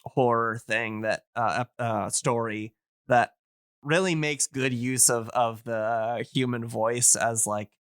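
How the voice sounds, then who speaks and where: A man's voice: medium pitch, steady tone, slow pace, clear, resonant tone, dry recording, studio quality. A man in a casual podcast chat, enthusiastic but hesitant as he searches for his words.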